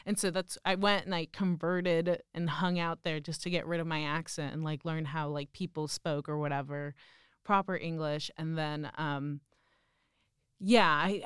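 A woman's voice: lowish voice, clean tone, dry recording, clean studio sound. A young woman with a Mexican Spanish accent, speaking Spanish natively and chatting casually as an interviewee, full of "like" and "um". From central Mexico. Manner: rambling, lots of "like" and "um". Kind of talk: casual conversation.

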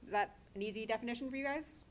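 A young woman, a comics creator, giving a lively, chatty talk and speaking directly to the audience. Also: a little high, racing, a little hiss or hum.

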